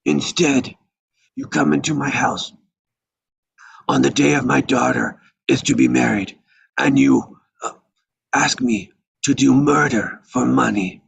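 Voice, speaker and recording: A heavily husky, creaking old mafia godfather. He weighs every word with slow reproach and measured menace, as in a crime film script read aloud. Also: medium pitch, full character voice. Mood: cool reproach. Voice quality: husky, gravelly rasp. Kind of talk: live table read of a film screenplay.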